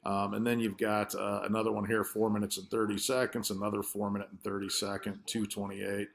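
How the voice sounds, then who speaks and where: Low-pitched man giving an informal presentation. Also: flat delivery, a little fast, creaky. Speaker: marketer presenting his ad campaign results. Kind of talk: informal screen-share presentation.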